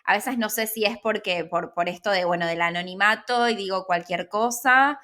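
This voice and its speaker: A woman's voice: medium pitch, moderate pace, sharp tone. A woman with a Rioplatense Argentine accent hosting a casual podcast interview, feeling her way through sentences with repeated words. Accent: Rioplatense Argentine Spanish. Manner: hesitant, searching phrasing with repeated words.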